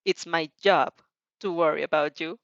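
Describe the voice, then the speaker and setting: A woman voicing a weary male teacher in an amateur audio story, gruff and deadpan, grumbling but grudgingly caring. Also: deep voice, moderate pace, no room sound, lo-fi sound, pitched below the performer's own voice. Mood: gruff concern.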